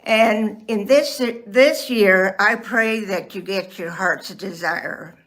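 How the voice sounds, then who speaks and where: A woman of ninety giving halting, heartfelt blessings in a casual family chat. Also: medium pitch, rough recording. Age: 90s. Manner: slow, halting, warm blessings. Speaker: guest.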